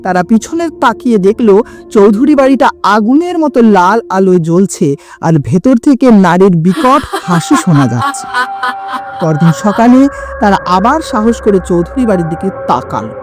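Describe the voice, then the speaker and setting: A woman narrating a scripted horror story in a dramatic storytelling manner, with sudden drops in her voice. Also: on the high side.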